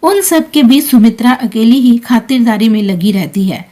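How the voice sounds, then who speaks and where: A woman's voice: a little high, animated, moderate pace, mellow. A woman reading a scripted emotional family story as its narrator.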